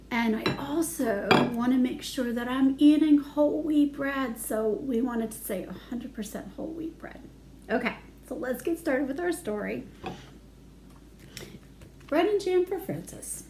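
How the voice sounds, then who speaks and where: A woman's voice: high voice, animated, unhurried, warm tone, a little hiss or hum. A woman reading aloud to young children as a storytime educator, singsong, with simple chatty asides.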